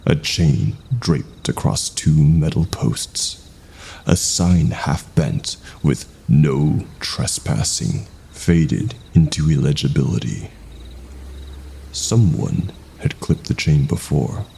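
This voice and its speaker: Male horror narrator, extremely low and slow, with vocal fry. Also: mellow. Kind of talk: scripted first-person horror narration.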